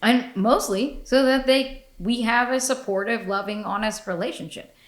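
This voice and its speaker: A woman's voice: fairly high pitch, normal speed. A woman hosting a podcast in casual chat, blunt and profane, laughing often. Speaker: podcast host. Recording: echoey room.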